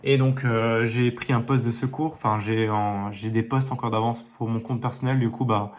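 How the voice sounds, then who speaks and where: A man's voice: medium pitch, fairly even intonation, normal speed, slight background noise. Young male vlogger with a head cold, rambling casual diary talk, phone mic. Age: young adult. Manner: rambling, many fillers and restarts. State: congested with a cold. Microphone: phone held facing him.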